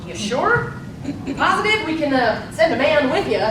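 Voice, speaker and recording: A woman's voice: high-pitched, sing-song, moderate pace. A woman playing a brusque, dismissive male newspaper boss of the suffrage era in a staged reading, curt and businesslike. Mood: brusque, evasive. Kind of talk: live staged play reading. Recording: echoey hall from far off, noisy ambience.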